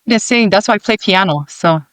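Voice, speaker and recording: A man's voice: sits high, fairly quick, fairly bright tone, no room sound. A man from American Samoa, co-hosting a podcast and asking chatty, friendly questions. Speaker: podcast co-host. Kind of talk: casual interview questions.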